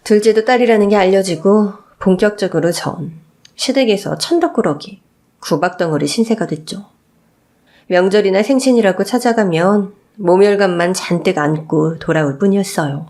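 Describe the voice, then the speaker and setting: A woman's voice: medium pitch, moderate pace, darkish tone. A woman reading a scripted first-person family story as a radio-style narrator.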